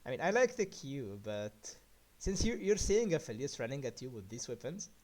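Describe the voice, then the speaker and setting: A man coaching a player through a video game replay in casual chat, bursting into loud excited yelps. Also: medium pitch, normal speed. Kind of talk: casual coaching chat over gameplay review.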